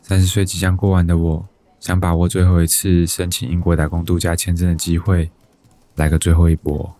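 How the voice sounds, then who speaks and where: A man's voice: low voice, flat delivery, a little fast, warm tone, dry recording. An actor with a Taiwanese Mandarin accent playing a timid, despondent bank employee in his thirties, narrating his life introspectively in a radio drama. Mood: wistful, resigned. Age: 30s.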